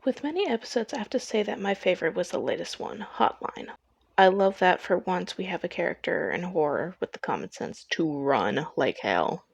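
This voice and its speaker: A woman's voice: lowish voice, very animated, moderate pace, creaky, slightly rough, no echo. A young adult woman narrating a scripted personal video essay.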